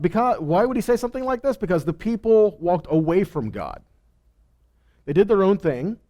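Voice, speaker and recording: A man's voice: a little high, a little mellow. A man teaching scripture in a sermon, emphatically asking rhetorical questions, repeating phrases and answering himself.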